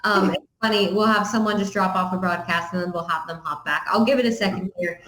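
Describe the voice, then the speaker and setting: A woman's voice: medium pitch, fairly even intonation, fast talker, echoey room, a crowd in the background, poor audio. A woman in her thirties hosting a live video stream for a local business group, friendly and chatty. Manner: friendly, chatty, uses fillers. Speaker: chamber of commerce host. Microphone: video call webcam.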